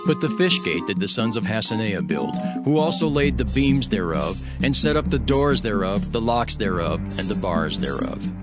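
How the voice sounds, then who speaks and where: A man's voice: fairly low pitch, sing-song, moderate pace, few pauses. A man giving a dramatic scripted narration of scripture, solemn and declamatory. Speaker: Bible narrator, voicing Nehemiah. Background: music.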